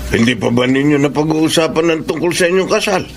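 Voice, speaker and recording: Guy playing nagging pinoy dad in a radio drama, gravelly voice kinda on the high side, blunt and pushy. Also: fast, soft background music, close to an everyday voice. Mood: chatty, disapproving. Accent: Filipino. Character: blunt, pushy father of a grown daughter.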